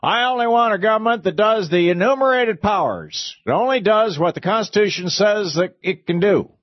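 A man's voice: high voice, animated, normal speed, no room sound, highs cut off, voice pushed up. A man mimicking a stubborn, indignant male caller who protests and repeats himself. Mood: smug.

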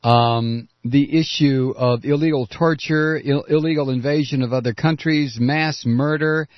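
A man's voice: medium pitch, fairly even intonation, measured pace, no room sound, lo-fi sound. A man hosting a political call-in radio show, talking in a blunt, sarcastic, combative way. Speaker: radio talk show host.

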